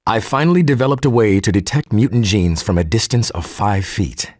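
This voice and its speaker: An actor playing a formal, articulate male geneticist recording an audio log for a game, measured and husky. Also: lowish voice, lively intonation, darkish tone, no room sound, studio quality. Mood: resigned, wary. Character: earnest male geneticist turning cold and militant.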